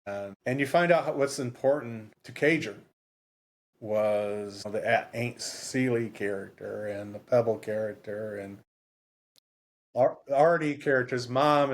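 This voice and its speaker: Rambling, emphatic man in his fifties. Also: mid-range voice. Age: 50s. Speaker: podcast co-host. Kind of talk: casual book discussion.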